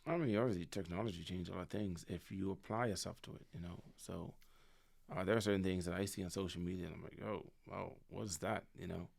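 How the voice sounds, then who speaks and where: A man of around thirty, a podcast guest speaking in a relaxed, reflective way on a stand mic in an office room. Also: deep voice, fast, vocal fry. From Bahamas. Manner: relaxed, reflective, repeats words as he thinks. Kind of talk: casual conversation. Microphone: studio mic on a stand.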